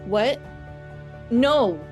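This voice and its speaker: A woman's voice: a little high, lively intonation, very slow, over music. A woman playing a young, troubled female mouse in an audio drama, earnest and plaintive. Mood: distressed.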